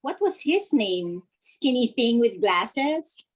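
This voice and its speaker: A woman's voice: a little high, big pitch swings, takes it slowly, a little mellow. A woman reading and chatting casually on a video call. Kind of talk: casual chat and script reading.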